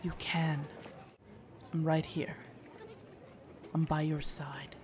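Low-voiced, loyal female knight played by a woman. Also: little pitch movement, background noise. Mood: solemn, encouraging. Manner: formal, deferential, soothing, measured. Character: loyal, gentle female royal knight guarding her queen. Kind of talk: immersive audio roleplay acting.

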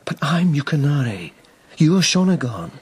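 An actor in a radio drama playing an earnest, lovelorn police lieutenant of old Japan, husky and quietly intense. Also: male voice, fairly high pitch, big pitch swings, moderate pace, full character voice. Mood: earnest, pleading. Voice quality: husky, breathy.

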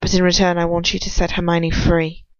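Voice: medium pitch, completely flat delivery, brisk, clear, resonant tone, dry recording, muffled recording, close to an everyday voice. An amateur reader voicing a determined, defiant teenage boy wizard in a storybook reading, firm and earnest. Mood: firm, quiet.

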